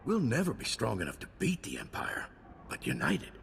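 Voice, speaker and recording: An actor playing an earnest frontier ally in a video game, heartfelt and encouraging. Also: male voice, fairly low pitch, wildly swooping pitch, normal speed, gravelly, a bit bright, exaggerated voice. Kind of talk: video game character dialogue. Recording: long reverb, faint noise.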